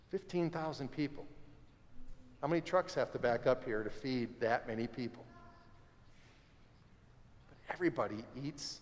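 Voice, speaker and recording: A man in his forties preaching a sermon as pastor, conversational and animated, standing by the altar of a church sanctuary. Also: mid-range voice. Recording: long church reverb.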